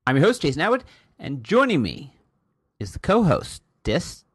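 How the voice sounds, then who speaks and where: A man hosting a casual podcast, his booming voice rising and falling in lively swings. He talks close to the mic in a dry, dead room, chatty and teasing. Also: slightly rough, dry recording. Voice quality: loud booming voice. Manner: chatty and teasing, quick asides. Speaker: podcast host. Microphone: close podcast mic, pops.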